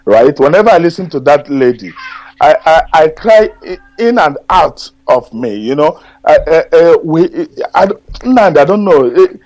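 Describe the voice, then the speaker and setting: Man, Nigerian accent, native Igbo speaker, middle-aged, radio caller, halting, repeats words, very dry sound. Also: a little high, animated, a little mellow, no room sound, rough recording. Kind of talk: call-in radio talk. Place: Germany.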